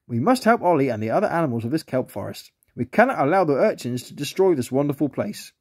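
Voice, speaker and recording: A man's voice: mid-range voice, very animated, fast, no room sound, clean studio sound. A man voicing a kindly, proper grown male lobster who explains sea life in a children's story. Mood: earnest.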